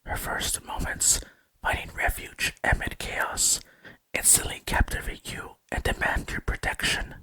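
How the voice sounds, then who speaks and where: A man whispering scripted ASMR narration in a hushed, unvoiced voice, close to the microphone. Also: unhurried, no room sound. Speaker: video host and narrator.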